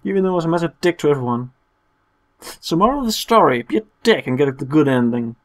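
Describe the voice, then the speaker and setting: A man's voice: on the high side, sing-song, fast talker. A young man giving casual, joking game commentary in a playful, self-mocking way. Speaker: let's play host.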